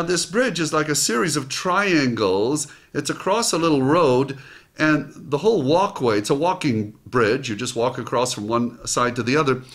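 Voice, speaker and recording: An older man from Israel, hosting a motivational talk straight to camera in a small studio and telling stories with lively acted-out quotes. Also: fairly high pitch. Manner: lively storytelling, acts out quoted lines. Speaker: host and motivational speaker. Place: small home studio set, indoors.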